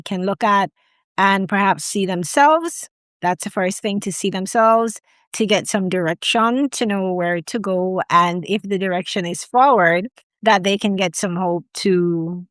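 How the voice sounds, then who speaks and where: A woman hosting an interview, asking questions in a measured, encouraging way. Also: mid-range voice, dry recording, clean studio sound. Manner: measured, encouraging, slow phrasing. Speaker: host, admissions consultant.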